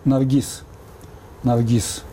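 Older man with a very dark, mellow voice. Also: mid-range voice, flat delivery, very slow, slight background noise. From Azerbaijan (Baku). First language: Azerbaijani and Russian. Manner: meandering, thoughtful, many fillers. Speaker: interview guest. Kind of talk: reflective interview talk.

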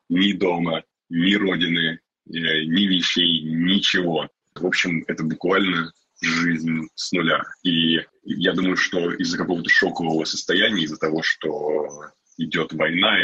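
A man's voice: extremely low pitch, sharp tone, husky, lo-fi sound. A man from Saint Petersburg telling his personal story in an interview, reflective and hesitant. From Saint Petersburg, Russia. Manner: reflective, with frequent hesitation sounds. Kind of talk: personal first-person account.

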